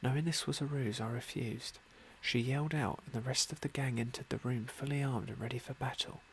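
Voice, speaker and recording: A man softly narrating a fantasy game as an ASMR roleplay, unhurried and with formal, genteel phrasing. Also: mid-range voice, steady tone, slight background noise, rough recording. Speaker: host of an ASMR game playthrough.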